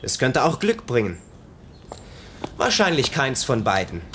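An actor playing a calm, practical husband in a 1960s radio play, matter-of-fact. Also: male voice, medium pitch, a little slow. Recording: noisy vintage mono radio recording.

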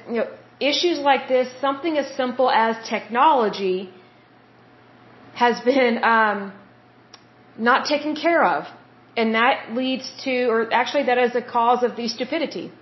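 An American woman delivers solo podcast commentary in a fairly high voice. She is emphatic and opinionated, restating points for stress. The recording is noticeably roomy and a little dull. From United States. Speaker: podcast host. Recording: roomy, slightly dull audio.

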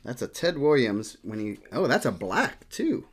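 A man's voice: mid-range voice, big pitch swings, a little slow. A middle-aged man chatting casually as he hosts a card-opening show from a desk in a small room. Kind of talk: casual chat while showing cards.

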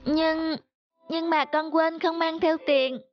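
Woman voicing a very high-pitched schoolchild. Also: steady tone, slow, lo-fi sound, a little hiss or hum. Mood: worried. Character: polite, sweet, worried schoolchild. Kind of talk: dubbed cartoon storytelling.

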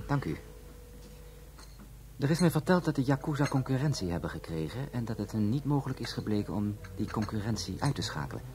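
A man's voice: medium pitch, animated, fairly quick, background noise, lightly acted. An actor playing a polite, insinuating Hong Kong businessman with formal manners in a radio drama. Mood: businesslike. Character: polite, smooth male Hong Kong import-export businessman.

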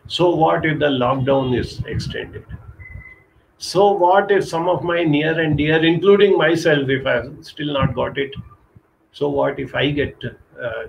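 A middle-aged man from India giving an earnest motivational talk over a webcam from a small curtained room, slowing down to stress key points. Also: a little high, creaky, slight background noise, poor audio. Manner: earnest, urging, slows down for emphasis. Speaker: counselor giving a live talk. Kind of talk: motivational live-stream talk. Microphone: webcam video call.

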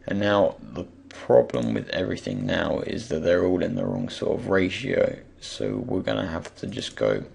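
Croaky, gravelly deep young man. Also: a little hiss or hum. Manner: relaxed, thinking aloud with many pauses. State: just woken up. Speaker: tutorial creator. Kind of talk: screen-recorded software tutorial.

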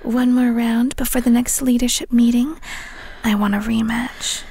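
Woman acting a breathy, possessive young woman fighter. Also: a little high, flat delivery, normal speed, a little mellow, dry recording. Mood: commanding. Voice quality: breathy, often whispery. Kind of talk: scripted roleplay audio drama.

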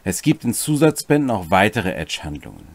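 A man's voice: on the low side, big pitch swings, fairly quick, vocal fry, dry recording. A middle-aged man hosting a rules explainer, presenting with casual asides. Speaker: host of a tabletop game rules series. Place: small cluttered home room, indoors.

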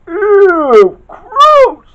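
Amateur reader as an excitable, emphatic young-hero male worker. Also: extremely high pitch, very slow, voice pushed up, heavily acted. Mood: outraged yelling. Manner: emphatic, overwrought, stretched-out exclamations. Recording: dull, lo-fi home recording.

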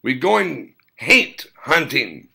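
Man playing a gravelly, folksy old mountain man. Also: medium pitch, big pitch swings, drawn-out words, sharp tone, pitched above the performer's own voice, heavily acted. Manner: clipped rural grammar, emphatic.

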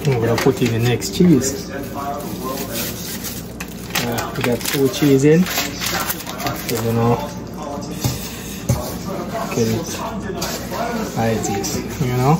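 A man's voice: medium pitch, very slow, pauses often, over a noisy crowd, rough recording. A man, casually talking through his cooking in a small home kitchen, filming the pan with a phone. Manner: relaxed, repeats "you know" and "see". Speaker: home cook. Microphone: phone camera held over the pan. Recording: loud kitchen sizzle noise. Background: loud sizzling and frying.

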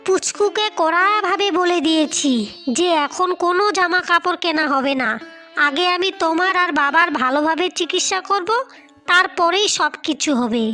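Woman voicing very high, bright young village wife. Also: normal speed, soft background music. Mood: concerned, reassuring. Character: caring, worried young village wife and daughter-in-law. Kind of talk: Bengali cartoon fairy-tale voice acting.

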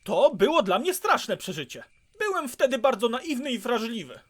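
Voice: medium pitch, normal speed. An actor playing a dramatic, cheery camp storyteller in a dubbed cartoon, with a theatrical delivery. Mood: spooky storytelling. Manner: theatrical, animated. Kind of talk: animated cartoon dub acting.

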